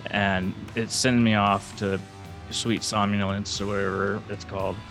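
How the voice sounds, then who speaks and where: Male co-host, baritone, low-set voice, loose and joking with faint background music. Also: little pitch movement, unhurried, creaky, a bit bright. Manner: rambling, joking. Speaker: podcast co-host. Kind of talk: casual interview chat.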